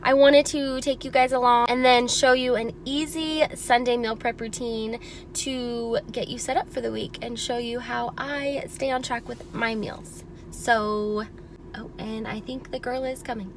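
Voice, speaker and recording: A woman's voice: on the high side, fairly even intonation, unhurried, background noise. A woman in her thirties from Oregon, chatting upbeat and direct to her viewers as she vlogs her weekend routine. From Oregon, USA. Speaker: lifestyle vlogger. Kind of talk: casual vlog narration. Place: parked car, then a home kitchen. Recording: music playing under the voice. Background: background music.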